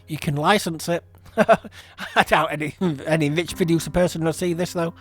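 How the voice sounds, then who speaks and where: A man's voice: a little high, fairly even intonation, moderate pace. A man hosting a casual podcast as a creator, rambling and self-deprecating. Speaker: podcast host and audio drama creator. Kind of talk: casual chat.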